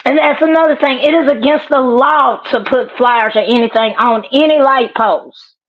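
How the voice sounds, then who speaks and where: A woman's voice: sits high, moderate pace, no room sound. A woman caller, blunt and scolding, swearing heavily in casual, heated talk over dull call audio. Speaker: guest caller.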